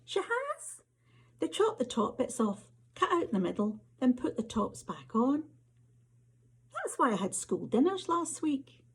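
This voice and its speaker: A woman's voice: sits high, sing-song, unhurried, husky, pitched above the performer's own voice, heavily acted. A woman reading the part of an earnest schoolgirl with a Scottish accent, keen to impress, in a story. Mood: insistent.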